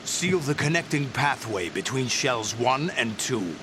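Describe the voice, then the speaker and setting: An actor playing a cold, commanding terrorist leader in a video game, curt and gravelly. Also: male voice, mid-range voice, sing-song, a little slow. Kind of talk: video game cutscene acting. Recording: noisy vehicle-like ambience behind.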